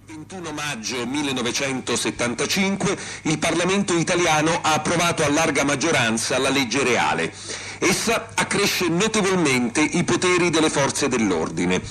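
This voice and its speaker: A man's voice: fairly high pitch, sing-song, normal speed, a bit bright. An actor playing a male 1970s newsreader, delivering a formal, declarative bulletin in a radio play. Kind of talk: formal news bulletin reading.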